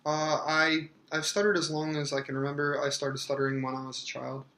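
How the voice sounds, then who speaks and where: A man in his thirties answering interview questions hesitantly, with many fillers and pauses. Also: on the high side, flat delivery, sharp tone, roomy sound. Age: 30s.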